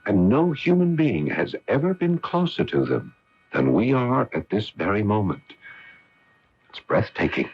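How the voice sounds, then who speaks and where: An actor playing an eager, awestruck astronaut commander in a 1980 radio drama, with an earnest, musing, dramatic delivery. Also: male voice, fairly low pitch, big pitch swings, unhurried, husky, exaggerated voice. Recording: dull, noisy 1980 AM radio recording.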